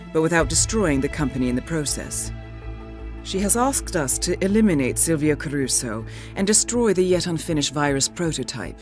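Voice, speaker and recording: Expressive woman as a mission handler. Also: low-pitched. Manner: measured, formal, suave, deliberate. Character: poised female intelligence handler briefing an assassin. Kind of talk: scripted mission briefing narration.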